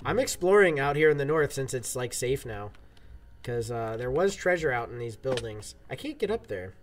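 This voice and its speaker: A man's voice: mid-range voice, big pitch swings, moderate pace. A man in his twenties chatting casually on a game livestream and reading out viewer comments. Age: 20s. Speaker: streamer host. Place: small room indoors at a desk.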